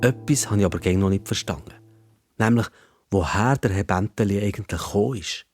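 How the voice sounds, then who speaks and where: A man's voice: lowish voice, brisk, darkish tone, faint music behind. A man with a Swiss German accent narrating a children's story in the first person as a storyteller.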